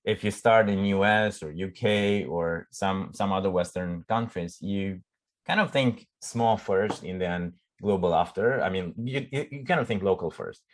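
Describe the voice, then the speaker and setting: A middle-aged man from Romania with a Romanian accent, a startup CEO chatting in an interview, often pausing and restarting as he searches for words. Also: low-pitched. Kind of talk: casual conversational interview.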